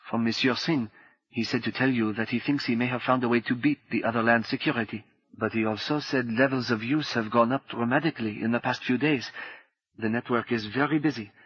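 A man reading an audiobook, voicing a quiet, formal French woman who brings urgent news. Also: mid-range voice, fairly even intonation, a little fast, slightly rough, no echo, dull audio, lightly acted. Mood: grave, urgent. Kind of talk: audiobook character voice.

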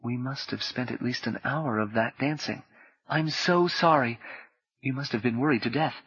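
A man doing a hushed young woman. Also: mid-range voice, moderate pace, husky, highs cut off. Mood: hushed, brisk. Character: young woman, quick and apologetic. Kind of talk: audiobook character voice.

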